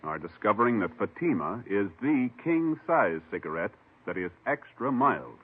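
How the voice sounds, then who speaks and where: A man announcing in a measured, formal, scripted 1950s radio style. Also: lowish voice, big pitch swings, slightly rough. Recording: muffled old radio recording, loud music.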